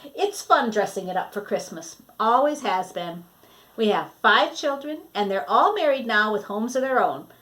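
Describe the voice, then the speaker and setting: A woman voicing a kindly, caring grandmother in a storybook, gentle and hospitable. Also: a little high, animated, moderate pace, rough recording. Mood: pleased. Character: kindly, caring grandmother hosting a stranded boy.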